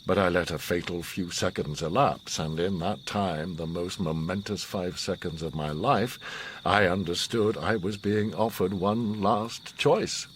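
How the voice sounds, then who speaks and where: Low-voiced, grave older war survivor. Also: male voice, slight background noise. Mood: grave, restrained. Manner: measured, solemn, philosophical pronouncing. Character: grave, commanding older man, enigmatic haunted war survivor. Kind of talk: radio drama monologue and dialogue.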